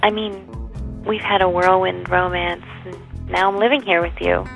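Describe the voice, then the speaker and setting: A woman's voice: lowish voice, fairly even intonation, normal speed, gravelly, fairly bright tone, highs cut off, soft background music. An actress playing an earnest, pressing live-in girlfriend in an audio soap opera. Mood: earnest, pleading. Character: earnest, probing live-in girlfriend, career-minded.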